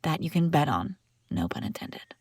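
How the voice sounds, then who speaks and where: A woman's voice: sits low, sing-song, normal speed, a little mellow, husky, dry recording, exaggerated voice. A woman playing a haughty, wry young princess on the run in an acted fantasy audio roleplay, bantering with exasperated sarcasm. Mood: conspiratorial, explaining. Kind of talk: acted audio roleplay, one-sided dialogue.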